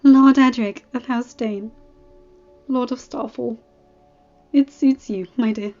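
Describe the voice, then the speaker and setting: A gentle noble lady greeting her kin with warmth, voiced by a woman narrator. The recording is slightly dull, with soft music. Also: on the high side, fairly even intonation, takes it slowly, pitched above the performer's own voice. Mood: tender. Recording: dull audio with faint music.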